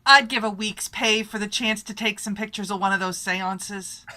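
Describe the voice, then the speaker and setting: A woman's voice: mid-range voice, steady tone, normal speed, sharp tone, lightly acted. A woman playing a brash, eager newspaper photographer in an old-time radio drama, with a breezy, slangy, emphatic delivery. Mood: eager, scheming.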